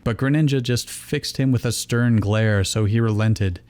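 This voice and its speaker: A man's voice: medium pitch, moderate pace, a little mellow, smooth. A man reading fan fiction aloud as narrator, in scripted storytelling style. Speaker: reader and narrator.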